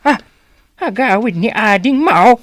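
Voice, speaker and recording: A woman's voice: on the high side, lively intonation, no room sound. A woman with an Ilocano accent playing a sly, easygoing old father in a radio comedy drama. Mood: furtive, hushing. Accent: Ilocano, northern Philippines. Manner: slow, hushing, drawling.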